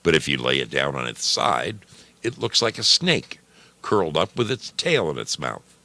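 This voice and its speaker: A man narrating a scripted story dramatically, with deliberate, suspenseful phrasing. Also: very deep voice, very animated, pushed and bright, no echo.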